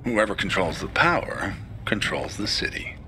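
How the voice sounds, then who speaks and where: A man's voice: fairly low pitch, big pitch swings, normal speed, noisy background. A male actor playing a pedantic, formal military technician in a video game cutscene. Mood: matter-of-fact. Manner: precise, pedantic, formal wording. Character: precise, formal military technician, an adult man.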